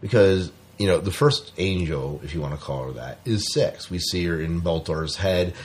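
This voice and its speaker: Deep-voiced man chatting. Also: normal speed, a little hiss or hum. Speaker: podcast co-host. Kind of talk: casual chat reviewing a TV series.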